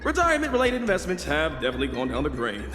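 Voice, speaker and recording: Showy, wildly swooping game-show bird host. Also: male voice, on the high side, wildly swooping pitch. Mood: glib. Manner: theatrical, drawn-out announcing with chuckles. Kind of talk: game voice acting, show hosting. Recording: long reverb, faint music behind.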